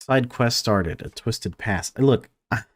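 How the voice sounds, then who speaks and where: A man's voice: medium pitch, slow pace. A man hosting a gaming video, thinking aloud with casual swearing as he comments and reads game text aloud. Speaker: game player and host of a let's play.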